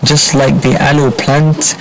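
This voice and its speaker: A man's voice: mid-range voice, flat delivery, takes it slowly, a bit bright, slight background noise, poor audio. Man giving a religious reminder.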